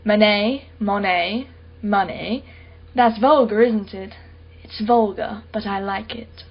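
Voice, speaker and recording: A woman's voice: medium pitch, slow, slightly rough, muffled recording. An actress playing a wealthy, condescending and sardonic female art collector in a radio play. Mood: self-satisfied. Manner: condescending, mocking, self-satisfied.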